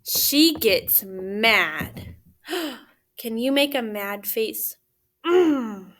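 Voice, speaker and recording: A woman reading a picture book aloud as a teacher, pausing to put simple questions to young children. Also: a little high, animated, fairly bright tone. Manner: simple questions with pauses for answers.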